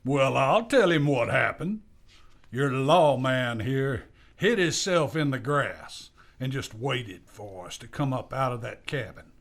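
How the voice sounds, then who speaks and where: A man with an American accent playing a bitter, defiant captured outlaw in a western radio drama, talking in a rough, accusing frontier drawl. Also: medium pitch, expressive, a little slow, fairly bright tone.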